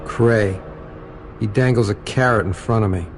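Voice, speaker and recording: A man voicing a grim, tormented soldier in a video game, delivering a terse, brooding inner monologue with a gravelly voice. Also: lowish voice, normal speed, soft background music, natural voice. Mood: weary, bitter. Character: grim, tormented male soldier turning mutant. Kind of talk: video game narration in character.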